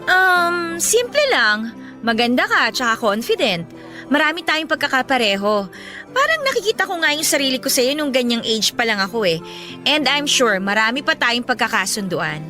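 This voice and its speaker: Bright-voiced, vain popular schoolgirl. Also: high voice, big pitch swings, moderate pace, full character voice. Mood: confident, flattering. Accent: Filipino. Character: popular, confident, blunt older schoolgirl, gushing and vain. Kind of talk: radio drama acting in casual Taglish. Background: background music.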